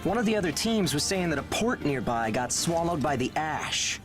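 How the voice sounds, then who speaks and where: A man's voice: a little high, big pitch swings, moderate pace. A man playing a brash, friendly young fighter in a video game, chatty, teasing and boastful. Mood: casual, uneasy. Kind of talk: video game cutscene voice acting. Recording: music behind the voice.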